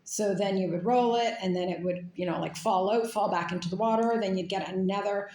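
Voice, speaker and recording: A woman's voice: medium pitch, brisk, darkish tone, roomy sound, slight background noise. A woman from Canada talking in a casual, rambling monologue, full of fillers and restarts. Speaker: speaker.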